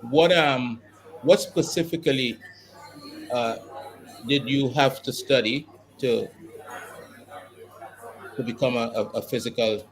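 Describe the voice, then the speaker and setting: A man's voice: mid-range voice, slow pace, long pauses, poor audio. A man interviewing a guest, asking long multi-part questions with many restarts. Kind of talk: sports interview.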